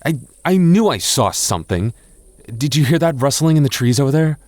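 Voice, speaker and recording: A man's voice: fairly high pitch, expressive, a little fast, darkish tone, no echo, close to an everyday voice. A young man playing an anxious, easily spooked but protective goth boyfriend in a roleplay audio drama, with a hesitant, stammering, sighing delivery. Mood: startled.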